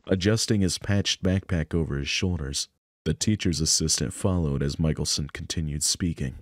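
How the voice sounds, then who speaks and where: A man's voice: a bass voice, normal speed, dry recording, clean studio sound. A man narrating a visual novel aloud as scripted story reading. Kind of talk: scripted narration with offhand asides.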